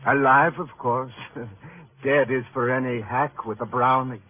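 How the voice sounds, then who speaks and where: An actor playing a smug, pretentious freelance photographer with florid, insinuating phrasing in a 1950s radio crime drama. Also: male voice, mid-range voice, fairly even intonation, measured pace, phone-quality sound, lightly acted. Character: pretentious, insinuating male freelance news photographer, smugly devoted to perfection. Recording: noisy, band-limited old radio recording.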